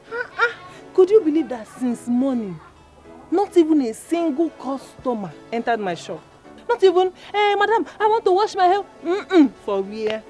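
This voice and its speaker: A woman's voice: extremely high pitch, a little mellow, exaggerated voice. A woman playing a chatty, exclamatory hairdresser complaining about hard times. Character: gossiping struggling village hairdresser woman. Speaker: hairdresser shop owner. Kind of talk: skit acting.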